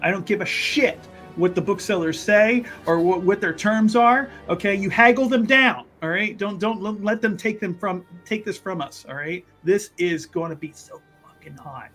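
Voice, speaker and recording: Guy acting as a bossy greedy gang boss in an rpg, higher male voice, urgent, music behind. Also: normal speed, few pauses, fairly bright tone. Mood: greedy, insistent. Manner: insistent, urgent. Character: pushy, foul-mouthed, profit-hungry male cult band leader. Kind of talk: improvised tabletop roleplay.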